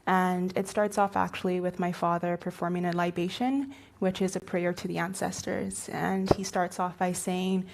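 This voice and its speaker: A woman panelist speaking in an articulate, measured way, explaining her ideas. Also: fairly low pitch, little pitch movement, gravelly, a little mellow, a little hiss or hum. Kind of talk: panel discussion.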